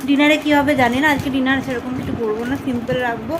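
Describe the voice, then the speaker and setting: Female vlogger, high voice, informal and chatty, recorded amid clear vehicle and street noise. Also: steady tone, fast talker. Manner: addresses viewers informally. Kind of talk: casual chatty vlog narration. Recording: noisy outdoor and vehicle background.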